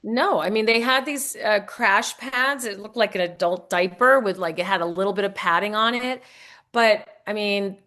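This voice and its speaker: Female interview guest, mid-pitched, casual and explanatory, rambling with self-corrections. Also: normal speed, pushed and bright. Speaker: guest author.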